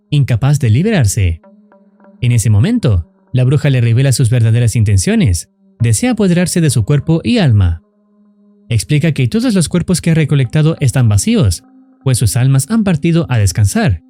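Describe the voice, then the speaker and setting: A man narrates a scripted horror story recap. His pitch moves up and down in a lively way, and his tone is bright but controlled. Faint music plays underneath. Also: normal speed.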